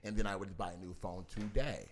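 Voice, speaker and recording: A man's voice: low voice, steady tone, brisk, a crowd in the background. An older man, a father in a family mediation, talking emphatically and repeating himself, insisting on finishing his point. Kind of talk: heated family discussion.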